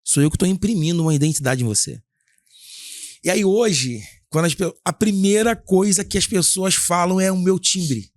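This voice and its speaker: A Brazilian Portuguese-accented man with a husky, slightly raised voice tells his story with earnest warmth, stumbling and restarting as he goes. The sound is dry and close on a studio podcast mic. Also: sits high, moderate pace, no echo. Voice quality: husky, gravelly singing timbre. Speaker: gospel singer and worship leader. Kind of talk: casual interview testimony.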